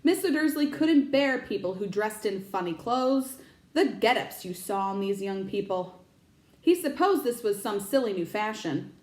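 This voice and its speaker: A woman teacher reading a children's storybook aloud, expressive and stopping to explain words to young listeners. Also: medium pitch, measured pace, roomy sound.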